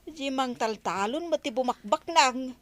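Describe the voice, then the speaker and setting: A woman's voice: high voice, expressive, measured pace, slight background noise. A woman playing an inquisitive, advice-giving village neighbour in a radio comedy, with a Filipino accent. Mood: admonishing. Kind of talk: Ilocano radio comedy drama acting.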